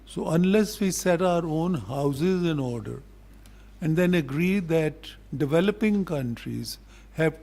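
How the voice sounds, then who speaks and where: An older man with a Pakistani accent speaks as a diplomat in a formal public address, in a deliberate, blunt, admonishing manner. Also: fairly high pitch, mellow.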